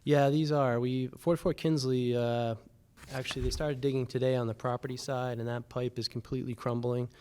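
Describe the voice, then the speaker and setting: A man who works for the city explaining repairs at a public board meeting in a measured, matter-of-fact way with many fillers. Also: mid-range voice, level tone, darkish tone, slight background noise.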